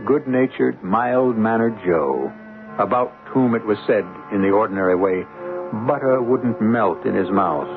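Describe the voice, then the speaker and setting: An actor hosting a 1970s radio mystery as an urbane, philosophical storyteller, with deliberate, wry, literary delivery. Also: male voice, on the low side, animated. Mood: wry, portentous. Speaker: host and narrator of radio mystery show. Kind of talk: theatrical scripted narration, 1970s radio. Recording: phone-like vintage radio recording with music.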